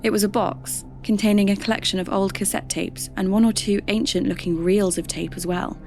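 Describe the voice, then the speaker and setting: An actress playing a curious radio DJ hosting a podcast, narrating in a measured, conversational way. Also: female voice, mid-range voice, steady tone, darkish tone, faint music behind, lightly acted. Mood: measured, intrigued. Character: spooky-curious female radio DJ turned podcast host. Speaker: host.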